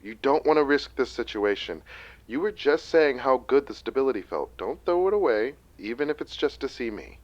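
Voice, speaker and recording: A man playing a loving, anxious, protective father of a grown daughter in a drama, gentle but urging. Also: mid-range voice, expressive, normal speed, no echo, close to an everyday voice. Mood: urgent, protective. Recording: dry, dull lo-fi sound.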